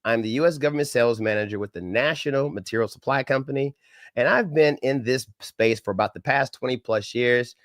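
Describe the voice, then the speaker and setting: A middle-aged man leads a live-stream training in an upbeat, folksy way, with greetings that sound a bit like a preacher. A head cold leaves his voice a little crackly and nasal. His pitch sits in a middle range, and the recording is close and dry. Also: brisk, dry recording. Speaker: government contracting trainer. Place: home setup in Dallas.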